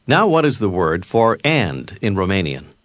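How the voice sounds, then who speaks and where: Male lesson narrator, low and animated, dry booth. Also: normal speed, no room sound. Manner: clear prompting teacher. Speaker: language course narrator. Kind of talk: scripted instruction.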